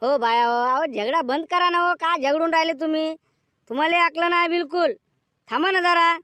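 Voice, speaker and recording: High-pitched, dry village peacemaker played by a man. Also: a little fast, sharp tone, no echo. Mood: exasperated. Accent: rural Vidarbha Marathi. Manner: admonishing, mediating. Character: exasperated village man, peacemaking elder neighbor. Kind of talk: village cartoon comedy skit.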